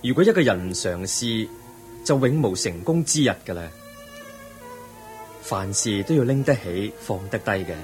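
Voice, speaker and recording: An actor playing a calm, resolute young prince, gentle and earnest, in a radio drama. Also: male voice, on the low side, normal speed, pauses often, faint music behind, close to an everyday voice. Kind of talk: Cantonese radio drama acting.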